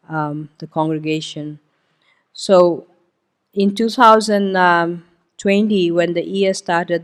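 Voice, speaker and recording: A woman whose first language is Hindi, speaking as a missionary guest speaker at a church service. She tells her story informally and talks through a map with frequent "um"s and "uh"s. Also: fairly low pitch. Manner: informal, explains while pointing at a map, frequent "um" and "uh". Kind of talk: conversational testimony talk.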